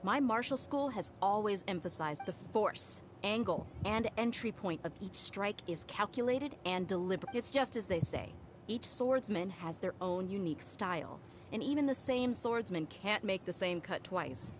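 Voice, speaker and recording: A woman's voice: mid-range voice, expressive, moderate pace, background noise, close to an everyday voice. A woman voicing a confident, matter-of-fact female fighter in a video game. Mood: assured. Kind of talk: game dialogue.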